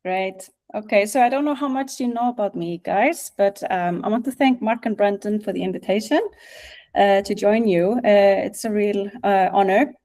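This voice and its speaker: A middle-aged woman from Iceland with an Icelandic accent, giving an informal presentation on a video call, hesitant and pausing often. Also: mid-range voice, darkish tone. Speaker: guest speaker, national music office director.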